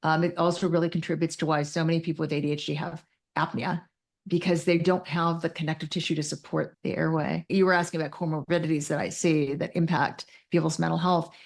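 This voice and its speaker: Very low-pitched woman with creaky voice. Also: flat delivery, quick pace. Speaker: guest, psychiatric clinician. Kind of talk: conversational interview, explaining.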